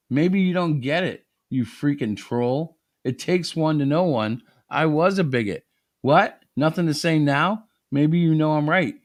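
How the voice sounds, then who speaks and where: A man's voice: fairly high pitch, dry recording, clean studio sound. A man playing a defensive, foul-mouthed screenwriter who rants indignantly in a dramatic story reading. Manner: ranting, sweary, indignant. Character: defensive, foul-mouthed hotshot male screenwriter, easily outraged.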